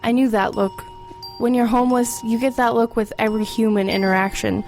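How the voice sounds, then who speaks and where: Radio drama lead: woman as teenage girl, slightly high voice, streetwise, dry humor, matter-of-fact first-person storyteller. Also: steady tone, brisk, a little mellow, faint music behind, natural voice. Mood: wry, confiding. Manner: wry, confiding, matter-of-fact. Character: streetwise, wry, formerly homeless teenage girl.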